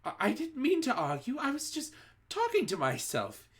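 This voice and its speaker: Brief: frightened female witch, stammering, pitched way up, wildly swooping, voiced by a man. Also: wildly swooping pitch, moderate pace, fairly bright tone, full character voice. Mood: pleading. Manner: stammering, high and pleading. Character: terrified, wretched female witch pleading.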